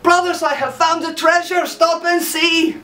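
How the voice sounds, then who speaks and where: A storyteller voicing Silly Hans, a cheerful, foolish young man who talks in a high, bright sing-song. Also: flat delivery, measured pace, exaggerated voice. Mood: eager. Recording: roomy, from a distant mic.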